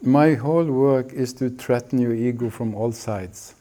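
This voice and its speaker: Calm man teaching in short phrases. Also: mid-range voice. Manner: gentle, unhurried, speaking in short phrases for an interpreter. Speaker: spiritual teacher. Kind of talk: slow spoken teaching.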